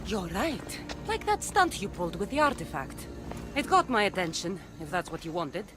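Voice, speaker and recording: A woman's voice: lowish voice, big pitch swings, normal speed, music in the background. A woman playing a bitter, menacing young demigod warrior of a cult, in a video game. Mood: cold. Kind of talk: dramatic video game cutscene acting.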